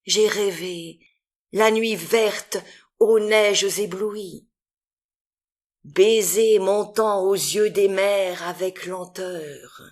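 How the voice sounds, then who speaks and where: A woman giving a dramatic, expressive recitation of literary poetry, with deliberate phrasing and long pauses between phrases. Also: medium pitch. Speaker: poetry reader.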